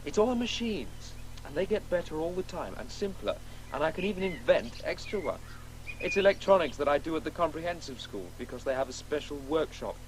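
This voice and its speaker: An actor playing a precocious schoolboy in a radio play, earnest, confident and articulate. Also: male voice, fairly high pitch, sing-song, brisk, slight background noise, rough recording. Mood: confident, insistent. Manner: articulate, insistent, eager. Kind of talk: naturalistic radio play dialogue.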